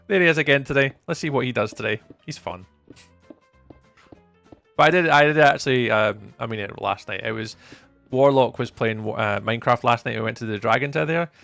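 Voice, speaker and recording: A young Scottish man from the east coast, live-streaming and chatting to viewers in a teasing, up-and-down way, from loud bursts to low mutters. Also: vocal fry, no room sound. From east coast of Scotland. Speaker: livestreamer.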